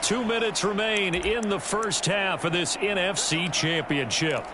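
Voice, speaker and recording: Punchy American football announcer over loud noise. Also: male voice, sits high, a little slow. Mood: brisk, focused. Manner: clipped, punchy announcer phrasing. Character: energetic male TV football play-by-play announcer. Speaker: play-by-play commentator in a football video game. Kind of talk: American football play-by-play commentary. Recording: loud game stadium noise behind voice.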